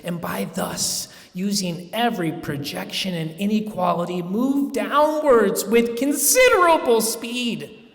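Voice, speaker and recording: A man giving a dramatic, deliberate live reading of gothic horror, drawing out words and moving between hushed and forceful delivery. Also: high-pitched. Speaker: reader at a live public reading. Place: large echoey room at a live museum event. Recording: echoey hall with long reverb.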